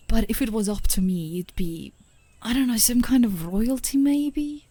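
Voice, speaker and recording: A woman playing a tender, playful, adoring girlfriend in an intimate ASMR romantic roleplay, speaking softly and gently, often in a whisper. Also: fairly low pitch, no room sound. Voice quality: soft, breathy, often whispering. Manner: gentle, affectionate, unhurried, giggly. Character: tender, playful, adoring girlfriend comforting her partner outdoors.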